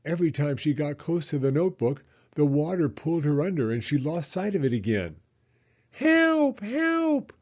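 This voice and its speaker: A man narrating a children's story as an expressive read-aloud. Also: a little high, fairly quick, few pauses. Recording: slightly dull, muffled audio.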